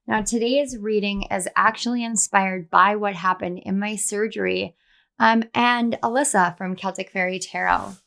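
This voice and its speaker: Woman, tarot reader, scratchy soft voice, casual affectionate chat, close mic, mid pitch. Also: fairly even intonation, moderate pace, vocal fry. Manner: chatty and affectionate, many fillers. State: scratchy, soft after throat strain. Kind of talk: casual spiritual vlog chat. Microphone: close to the microphone.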